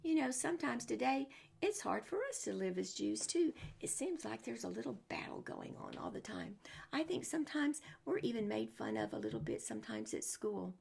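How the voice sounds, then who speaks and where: Gravelly-voiced woman telling a children's story. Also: on the high side, huge pitch swings, moderate pace, darkish tone. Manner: asks the young listeners rhetorical questions. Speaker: storyteller and religious teacher for children. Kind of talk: picture-book story time for children.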